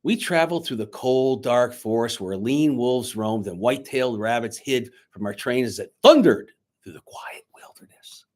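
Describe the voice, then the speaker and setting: A man speaking to schoolchildren as their teacher, reading a storybook aloud in an expressive, dramatic way. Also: mid-range voice, normal speed, hardly any pauses. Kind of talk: children's storybook read-aloud and chat to pupils. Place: indoors, recorded remotely on video.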